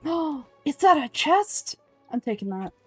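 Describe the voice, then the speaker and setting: A woman's voice: high-pitched, very animated, a little slow, a bit bright, no echo, faint music behind. A young adult woman giving casual, slangy and playful commentary while playing a video game. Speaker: game streamer doing voice-overs.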